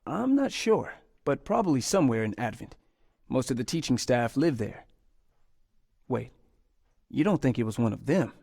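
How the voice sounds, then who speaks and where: A man's voice: mid-range voice, very animated, normal speed, gravelly, pauses often, dark tone, dry recording, studio quality. An actor playing an earnest university scientist in a video game, polite and a little hesitant as he explains things. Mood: worried. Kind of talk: video game dialogue acting.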